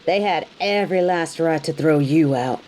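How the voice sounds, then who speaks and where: A woman's voice: low voice, moderate pace, a little mellow, a little hiss or hum, lightly acted. A woman playing a tough, sassy bounty hunter in an audio roleplay, speaking straight to the listener with dry, taunting, scolding bluntness. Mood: stern, scolding. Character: tough, sardonic female bounty hunter, grudgingly hospitable, scolding. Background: rain.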